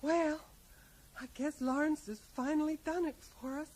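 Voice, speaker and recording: Small town american widow, high voice, resigned n rambly, radio play, bit of hiss. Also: female voice, steady tone, measured pace, a little mellow, rough recording. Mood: wistful, defensive. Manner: rambling, ends remarks with tag questions. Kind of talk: radio drama dialogue. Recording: faint noise, 1980s radio play.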